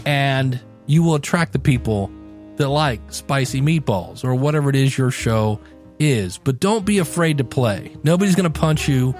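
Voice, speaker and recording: A man hosting a podcast in a conversational solo style, speaking emphatically and slowing down for dramatic effect. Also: mid-range voice, lively intonation, darkish tone. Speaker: podcast host. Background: background music.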